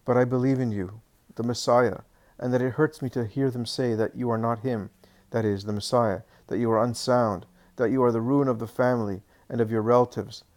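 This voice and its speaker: A man's voice: medium pitch, steady tone, brisk, darkish tone, lightly acted. A man voicing a worried townsman who confides town gossip in an earnest, sympathetic way.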